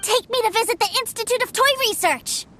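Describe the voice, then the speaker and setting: A woman voicing an excitable, stubborn little boy in a video game. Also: very high-pitched, a little fast, faint music behind. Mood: eager. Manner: excited, pleading.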